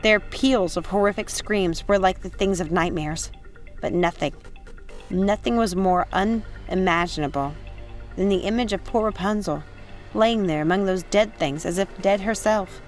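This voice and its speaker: A woman's voice: medium pitch, normal speed, soft background music. A woman reading an audiobook as a dramatic, suspenseful narrator. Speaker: audiobook reader. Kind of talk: dramatic scripted story narration.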